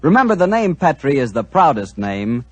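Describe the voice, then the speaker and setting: A man's voice: medium pitch, very animated, moderate pace. A man announcing and reading a sponsor ad on a 1940s radio show, genial and salesmanlike.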